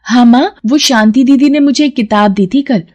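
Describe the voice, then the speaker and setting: A woman's voice: a little high, moderate pace, a little mellow, lo-fi sound, voice pushed up, close to an everyday voice. A woman voicing a young daughter in a moral storybook, eager, tender and stubborn, pleading earnestly. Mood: coaxing. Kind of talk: acted Hindi moral storybook dialogue.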